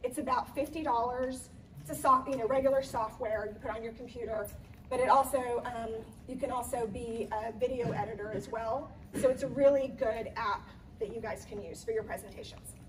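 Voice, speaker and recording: Slightly hoarse woman presenting at a conference. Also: fairly high pitch, fairly even intonation, a little fast, recorded from a distance, background noise. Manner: chatty, many ums and restarts. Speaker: event planner giving a conference talk. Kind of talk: live presentation to an audience. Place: conference room.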